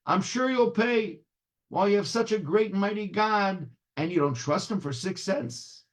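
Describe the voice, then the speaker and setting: A man's voice: high voice, animated, normal speed, pitched above the performer's own voice. A man voicing a kindly rabbi who poses as a peasant woodseller in a folk tale, emphatic and reassuring. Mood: gently scolding.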